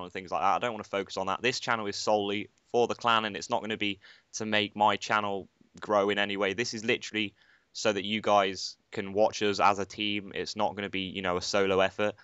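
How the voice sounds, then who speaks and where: A man's voice: on the low side, little pitch movement, fast talker, bright, forceful tone, clean tone, dry recording, lo-fi sound. A teenage boy hosting a casual gaming commentary, chatty and rambling with lots of filler phrases. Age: teens. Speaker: channel host, gamer.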